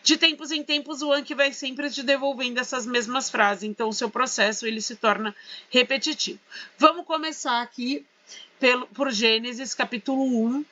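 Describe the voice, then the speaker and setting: A Brazilian woman with a bright, somewhat high voice walks her students through a lesson. She talks casually and keeps checking in with them. The audio is a little dull and lo-fi. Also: fairly even intonation, normal speed. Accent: Brazilian Portuguese. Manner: chatty, checks in with tag questions. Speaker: English teacher. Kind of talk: casual screen-share tutorial.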